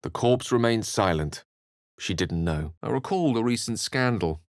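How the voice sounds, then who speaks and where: A refined, scholarly video game wizard in middle age. He phrases things ornately and with wry precision, theatrical yet measured. The recording is close and dry. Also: on the low side, animated, no room sound. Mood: grave storytelling. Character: eloquent, refined adult male wizard companion, wry and scholarly.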